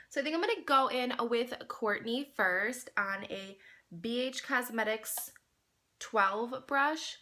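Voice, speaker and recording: A woman's voice: on the high side, pushed and bright. A young woman beauty vlogger with a slightly nasal, congested voice from a cold, chatting to viewers while doing her makeup. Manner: chatty, addresses viewers as you guys. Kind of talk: casual makeup tutorial chat.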